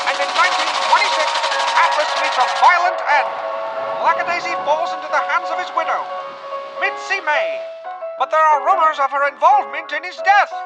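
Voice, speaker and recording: A woman's voice: sits high, rough recording. A woman delivering scripted, dramatic narration as a story's narrator. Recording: loud music under narration. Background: loud music.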